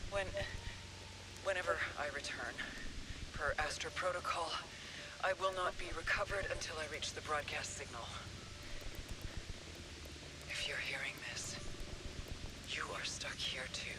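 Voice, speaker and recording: Stranded space scout lady, weary and haunted, clipped game log entries, really loud noise behind her. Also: low-pitched, measured pace, pauses often. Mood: grim, unsettled. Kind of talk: scripted video game log recording. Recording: noisy game audio playback. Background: loud game ambience.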